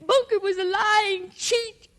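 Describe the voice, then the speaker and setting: An actress playing an excitable, bitter and insistent woman in 1940s radio drama style. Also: very high voice, slow. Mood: indignant, vengeful. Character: excitable, bitter woman fixated on her runaway second husband. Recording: old radio drama recording.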